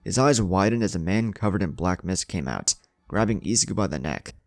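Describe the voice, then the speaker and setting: A man's voice: sits low, animated, fairly quick, no room sound. A man reading a scripted story as a narrator, with dramatic delivery. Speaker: narrator of a fan fiction story.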